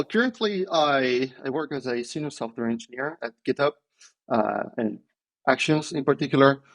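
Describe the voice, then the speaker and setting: A man's voice: medium pitch, rough recording. Man, hesitant and thoughtful. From Italy. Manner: thoughtful, hesitant, many ums and restarts. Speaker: podcast guest, software engineer. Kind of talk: casual interview answers.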